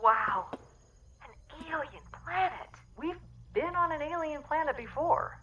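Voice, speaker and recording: A woman playing an eager, excitable space traveller in a sci-fi comedy audio drama. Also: high-pitched, a little slow, muffled recording, exaggerated voice. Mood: awed. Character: eager, excitable female space traveller thrilled by alien planets.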